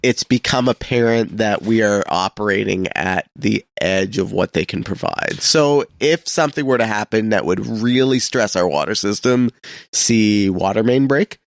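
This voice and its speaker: A man's voice: fairly low pitch, normal speed, vocal fry, no echo. A man co-hosting a local radio show, chatting casually and explaining things in informal but technical terms. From Canada.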